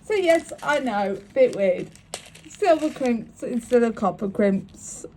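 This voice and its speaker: Lady with a cold, sniffly, chatty craft tutorial, slowish and kinda high. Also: expressive, dark tone, slight background noise, low-quality recording. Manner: chatty, apologetic, talks through each step.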